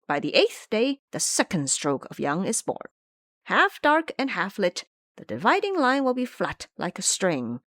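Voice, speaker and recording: Audio drama monkey disciple, male, played by a woman: clever, emphatic lecturer, swooping and animated. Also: medium pitch, a little slow, dry recording. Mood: earnest teaching. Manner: didactic, emphatic.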